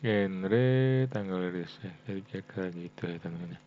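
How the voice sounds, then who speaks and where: An Indonesian man giving a casual coding tutorial, thinking aloud in a halting way and often tagging phrases for his viewers. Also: low voice, fairly even intonation, darkish tone, soft background music. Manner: halting, frequent "ya" tags addressing viewers as friends. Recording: dull lo-fi mic with faint hum.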